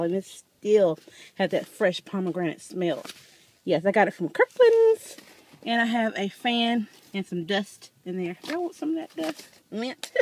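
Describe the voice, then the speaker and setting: A woman's voice: mid-range voice, a little mellow, slight background noise. A woman in her thirties chatting casually to viewers while filming a tour of her car on a handheld phone, laughing often. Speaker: vlogger. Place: outdoors and inside a parked car. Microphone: handheld phone camera.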